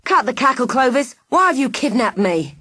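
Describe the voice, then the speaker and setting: Woman reading as a brave defiant young woman, sharp, challenging, dry close sound. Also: sits high, very animated, moderate pace, dry recording, voice pushed up, full character voice.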